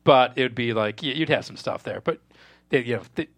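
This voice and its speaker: A man speaking as a screenwriter guest in a casual panel chat, rambling and halting with repeated false starts. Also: medium pitch.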